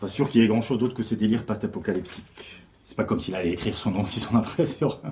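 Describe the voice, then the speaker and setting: Guy playing geeky excitable cop in a podcast drama, lowish voice, keen explainer, bit of noise behind. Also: fast, lightly acted. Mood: excited. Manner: enthusiastic explainer. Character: excitable male police investigator, nerdy devotee of a parody religion. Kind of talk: naturalistic French audio fiction acting.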